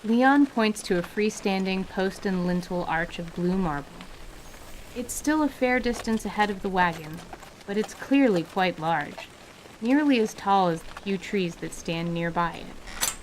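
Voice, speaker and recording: A woman's voice: mid-range voice, animated, unhurried, slight background noise, rough recording. A woman reading scripted narration for a fantasy audio drama.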